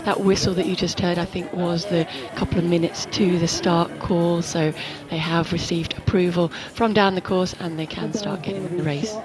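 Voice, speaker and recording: A woman giving live race commentary, explaining the course and the field in a measured, analytical way. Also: fairly low pitch, steady tone, loud music behind the voice.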